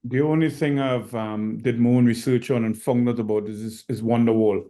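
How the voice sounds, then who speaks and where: A middle-aged man from Trinidad and Tobago, an assistant coach answering interview questions in a measured way, with many pauses. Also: medium pitch, steady tone, mellow.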